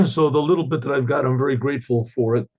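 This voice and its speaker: An older hoarse man, a rabbi, giving a Torah class with halting restarts and frequent throat clearing. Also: medium pitch, a little mellow, lo-fi sound.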